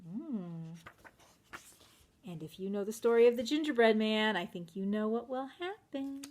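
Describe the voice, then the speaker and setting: High-pitched, very animated woman storyteller. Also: unhurried. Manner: expressive, asks listeners questions, varies volume. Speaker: children's story reader, teacher. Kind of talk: animated storytelling for young children.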